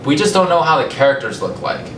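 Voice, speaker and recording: Young male presenter, American accent, conversational storytelling with measured pauses; roomy, noisy recording. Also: big pitch swings, a bit bright. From California, United States. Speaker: language company founder giving a talk. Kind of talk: public talk, personal storytelling. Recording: echoey, distant mic, rumbling noisy room.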